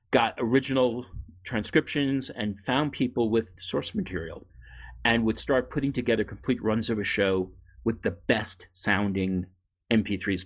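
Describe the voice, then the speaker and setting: A middle-aged man co-hosting a podcast, chatting casually and telling stories in an animated way, acting out what people said. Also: lowish voice. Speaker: podcast co-host. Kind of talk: casual chat, storytelling.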